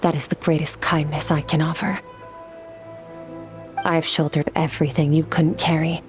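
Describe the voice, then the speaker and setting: Woman acting a low, cold, proud warrior woman. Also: little pitch movement, normal speed, soft background music, close to an everyday voice. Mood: proud, resolute. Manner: stern, detached, dismissive. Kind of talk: dramatic video game voice acting.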